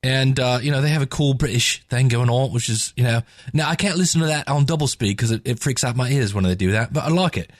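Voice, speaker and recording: A man's voice: medium pitch, fast, few pauses, no room sound. A man hosting a podcast, who breaks in to talk to his listeners in a chatty, enthusiastic way. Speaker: podcast host. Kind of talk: casual podcast commentary.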